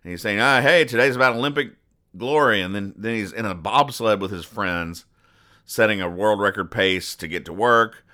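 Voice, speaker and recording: A man hosting a podcast in a casual, rambling commentary style, explaining jokes with deadpan mock-earnestness. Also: on the low side, normal speed. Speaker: podcast host.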